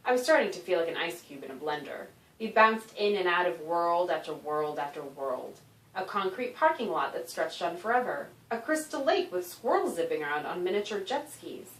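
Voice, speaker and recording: A woman author reading a children's story aloud in an expressive, animated narration with dramatic emphasis. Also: fairly low pitch, moderate pace. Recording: roomy echo, distant microphone.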